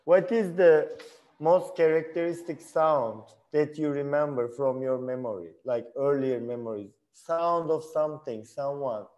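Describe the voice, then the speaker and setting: A man with a fairly high, mellow voice leads a casual online seminar. He asks questions and encourages the others as he goes, speaking over a video call from an indoor room. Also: a little slow, poor audio. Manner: encouraging, inquisitive. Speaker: instructor leading an online seminar. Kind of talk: casual class discussion.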